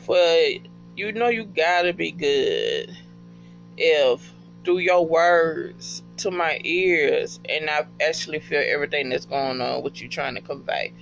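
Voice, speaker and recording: Male, high voice with gravelly fry, unscripted slangy fan chat over faint music. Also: a bit bright, low-quality recording. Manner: slangy, profane, emphatic, with repeated phrases. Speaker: podcast fan giving recommendations.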